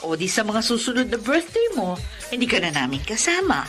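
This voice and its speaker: A woman's voice: fairly high pitch, huge pitch swings, fairly quick, a bit bright, soft background music. An actress in a radio drama playing a loving, teasing mother who talks to her daughter with affection. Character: loving middle-aged mother, teasing and warm. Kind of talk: classic radio drama acting.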